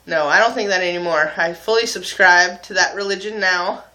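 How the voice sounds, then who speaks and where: Bright-voiced young man chatting candidly. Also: high-pitched, normal speed, poor audio. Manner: candid, informal, self-mocking. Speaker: video blogger. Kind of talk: casual confessional chat to viewers.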